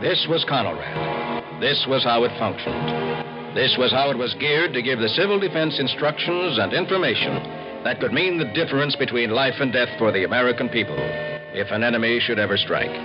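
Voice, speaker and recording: An actor playing an earnest investigator, narrating and interviewing deliberately and dramatically in a scripted 1950s radio documentary. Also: male voice, mid-range voice, lively intonation, close to an everyday voice. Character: earnest male investigator narrating a civil defense report. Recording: dull old radio recording, music behind.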